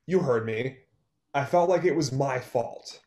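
A man's voice: mid-range voice, unhurried, mellow, close to an everyday voice. A young man acting a hurt, guarded ex. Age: 20s. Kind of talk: read-through of a one-act play over video call. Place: room at home. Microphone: computer mic on a video call.

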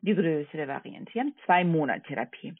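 A non-native speaking woman with a foreign accent, working as a call center agent, giving a halting, repetitive, polite sales pitch over the phone. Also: breathy edge, dry recording. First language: not German. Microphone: telephone. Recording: tinny, narrowband phone line.

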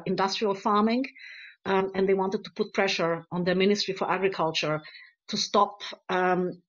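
A woman in her thirties giving a webinar talk over slides, earnest and encouraging, with many ums and uhs. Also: medium pitch. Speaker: conference speaker on activism. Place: home room on a video call. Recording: slightly dull lo-fi video call audio.

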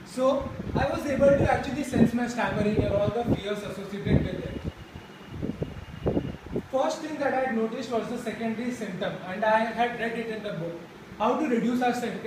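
Young man with a stammer, echoey classroom. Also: high-pitched. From India. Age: young adult. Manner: stammers and restarts words. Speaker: speaker at a stammering support group. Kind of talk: personal speech to a group. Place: classroom with a chalkboard. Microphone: far camera. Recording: echoey room from far off, background noise.

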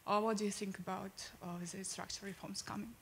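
A young woman, haltingly asking a question from the audience into a stand microphone. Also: medium pitch, flat delivery, vocal fry, slight background noise. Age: young adult. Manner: hesitant, halting. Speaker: audience questioner, teaching assistant. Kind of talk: asking a question at a public event.

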